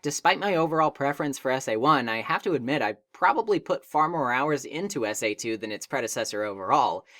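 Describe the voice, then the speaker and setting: A woman's voice: low voice, fairly bright tone. A woman narrating a scripted video essay in a wry, conversational and articulate way. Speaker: video essayist, game reviewer. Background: background music.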